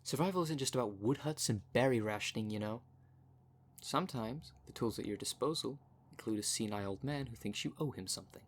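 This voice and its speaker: A man's voice: medium pitch, fairly quick, natural voice. A man playing a cocky, cunning werecat with a smug, taunting, theatrical manner in an audio roleplay. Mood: taunting, menacing. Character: cocky, cunning male werecat shapeshifter, boastful and menacing. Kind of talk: ASMR roleplay monologue.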